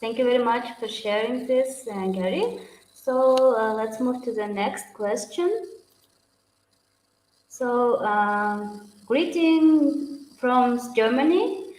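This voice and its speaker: A woman's voice: on the high side, slow pace, darkish tone, reverberant, a little hiss or hum, poor audio. A woman hosting an online Q&A, polite, with non-native phrasing. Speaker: host, moderator. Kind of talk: moderating a Q&A.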